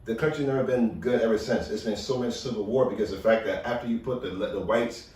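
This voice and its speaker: Guy from liberia, low voice, talks in a big echoey room away from the mic. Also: brisk. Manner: animated, rising sharply when worked up. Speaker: podcast co-host. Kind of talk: casual chat. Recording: roomy echo, far from mic.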